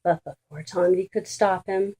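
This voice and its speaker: A woman reading a children's storybook aloud in an expressive, deliberate and emphatic way for young listeners. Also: lowish voice, breathy edge. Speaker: children's book reader.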